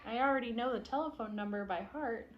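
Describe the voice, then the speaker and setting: A woman's voice: on the high side, fast, lo-fi sound, a little hiss or hum, natural voice. A woman voicing a stubborn young storybook boy who refuses to move, speaking emphatically and defiantly. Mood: reluctant. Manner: emphatic, defiant first-person storybook delivery.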